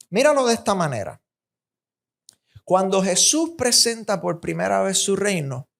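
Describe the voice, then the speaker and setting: A woman with a Puerto Rican accent preaching a sermon in an emphatic, deliberate way, often building points through repeated phrases. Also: fairly low pitch, vocal fry. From Puerto Rico.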